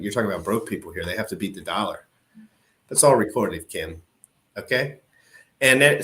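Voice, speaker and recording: A man giving brash, profane, excitable commentary while reacting to an old crypto video. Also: fairly low pitch.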